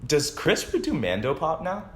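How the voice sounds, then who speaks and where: A young woman chatting casually as a podcast guest, teasing the others with quick interjections. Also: sits low, very animated, slight background noise. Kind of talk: casual chat among friends. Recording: echoey room.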